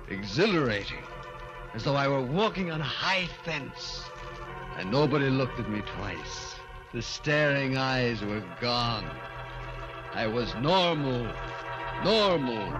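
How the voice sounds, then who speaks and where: An actor playing a desperate former dwarf turned killer in an intense 1940s radio thriller. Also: male voice, mid-range voice, highs cut off. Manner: intense, dramatic. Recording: old 1940s radio recording, faint noise.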